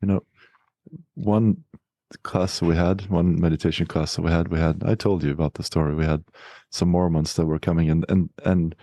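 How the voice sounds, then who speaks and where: Man, from Sweden, co-host, gravelly, dry close sound. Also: sits low, fairly even intonation, normal speed, warm tone, no echo. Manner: affirming, laughs easily, storytelling. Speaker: podcast co-host. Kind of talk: casual spiritual discussion.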